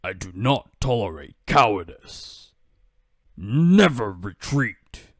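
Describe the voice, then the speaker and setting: A man's voice: lowish voice, sing-song, slow pace, no echo, studio quality, full character voice. A man voicing a stern, proud game warlord with a severe, commanding manner. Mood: stern, threatening. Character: stern proud warlord who scorns cowardice.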